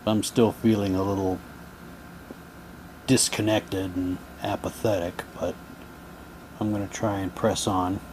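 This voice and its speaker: A man giving halting, low-key, wry commentary as he casually unboxes items and reads captions aloud. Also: flat delivery, a little mellow, slight background noise. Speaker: unboxing video host.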